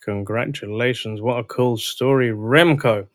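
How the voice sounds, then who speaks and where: A man's voice: medium pitch, unhurried, no room sound, studio quality. A man hosting a sales podcast, enthusiastic and polite, welcoming his guest and calling him sir. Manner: enthusiastic, sing-song intro, polite "sir". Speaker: podcast host.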